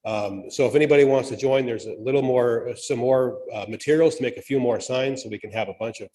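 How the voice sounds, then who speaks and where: A man's voice: mid-range voice, brisk, warm tone, low-quality recording. A man making an informal church announcement in a casual, somewhat hesitant way. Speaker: congregation member making announcements.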